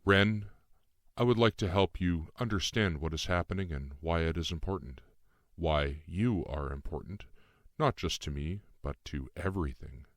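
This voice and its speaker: Audiobook role, male reader: composed otherworldly intellect, low-pitched, formal and exacting, dry close sound. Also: moderate pace, dry recording. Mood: persuasive. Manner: formal, precise, composed, didactic. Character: Lucien, calm calculating otherworldly being in young man's form. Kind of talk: audiobook dialogue.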